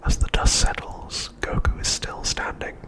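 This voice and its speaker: A man narrating an ASMR recap in a soft, breathy, unvoiced whisper, hushed and unhurried. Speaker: ASMR host.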